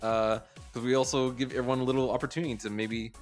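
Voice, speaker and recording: A man co-hosting a livestream, eager and quick, with loose casual phrasing. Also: medium pitch, flat delivery, soft background music. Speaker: livestream co-host.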